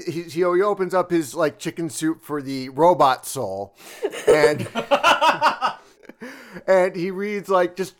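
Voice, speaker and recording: Jokey, rambling man with a higher voice. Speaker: player. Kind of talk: playing a tabletop game.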